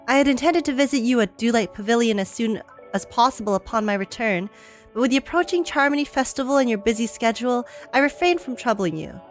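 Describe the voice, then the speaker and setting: A woman streamer playing a video game, reacting casually and reading game text aloud. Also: a little high. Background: faint game music.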